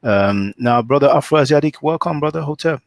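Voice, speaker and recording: A man's voice: on the high side, expressive, moderate pace, gravelly, no echo, clean studio sound. A man from France hosting a live chat, polite and welcoming to callers. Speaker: host.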